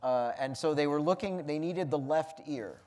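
An American man moderating an onstage interview, adding quick remarks and jokes. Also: a little high, darkish tone. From United States. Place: theater stage with live audience.

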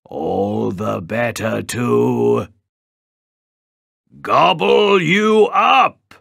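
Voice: deep voice, big pitch swings, extremely slow, no echo, studio quality, full character voice. A storyteller acting out a sly, growling, greedy wolf. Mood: roaring greed. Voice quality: growling, snarling. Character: big bad wolf, sly, greedy, menacing male beast.

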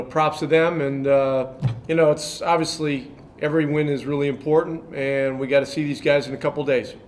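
An older man in his sixties, a head coach answering reporters' questions after a game with a measured delivery and many fillers. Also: mid-range voice, slight background noise. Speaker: head coach at a press conference. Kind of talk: post-game press conference answers. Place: press room in front of a sponsor backdrop.

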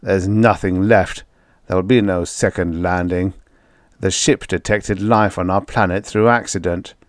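A man's voice: deep voice, fairly even intonation, measured pace, no room sound, clean studio sound. A man reading aloud voices a bitter, miserable astronomer who mutters his lines. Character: bitter, gloomy male astronomer. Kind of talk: audiobook character voice.

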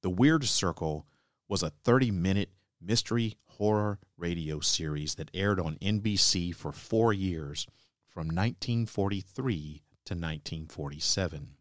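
Low-voiced man hosting a podcast. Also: a little slow, no room sound, studio quality. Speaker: podcast host. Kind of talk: scripted introduction to old radio plays.

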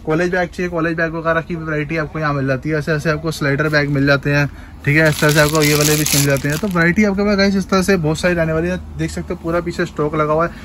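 Fast-talking male market vlogger. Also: a little high, flat delivery, fairly bright tone. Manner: rapid, repetitive price-echoing patter. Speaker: vlogger host. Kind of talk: market tour vlog. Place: busy wholesale bag shop, indoors.

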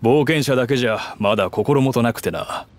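An actor playing a gruff, confident middle-aged working man in an anime game, casual and plain-spoken. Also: mid-range voice, brisk, natural voice. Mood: serious. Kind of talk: Japanese anime game voice acting. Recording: faint music behind the voice.

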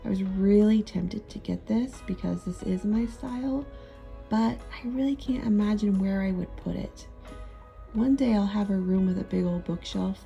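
A woman in her thirties narrating a thrift shopping trip in a chatty, relaxed, easily amused way. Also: medium pitch, creaky. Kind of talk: casual voice-over narration.

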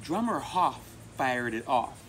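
A middle-aged American man with an expressive, emphatic delivery, presenting and reading a children's picture book aloud. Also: medium pitch, slow, faint music behind. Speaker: volunteer storybook reader. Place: outdoors among palm trees. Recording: outdoor recording with insect noise. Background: crickets and insects.

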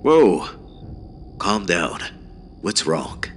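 A man voicing a concerned police officer in a horror story, calming and practical as he asks questions. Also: low-pitched, drawn-out words, slight background noise, heavily acted.

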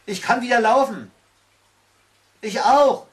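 A man voicing frightened, excitable children in a storybook, loud and exclaiming. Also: very high voice, slow, distant mic, voice pushed up, rough recording. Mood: excited relief. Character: frightened, despairing storybook children crying for help.

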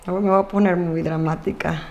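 Low-voiced Mexican woman chatting. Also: fairly even intonation, a little fast, darkish tone. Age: 30s. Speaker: interview guest, actress. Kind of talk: casual chat. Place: indoor studio set at a desk. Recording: roomy sound, noticeable background noise.

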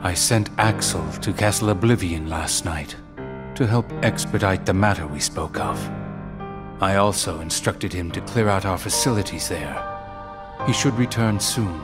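An actor playing a stern, cold second-in-command in a video game, speaking in a formal, clipped, aloof way. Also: male voice, deep voice, normal speed, loud music behind the voice, close to an everyday voice. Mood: formal, icy.

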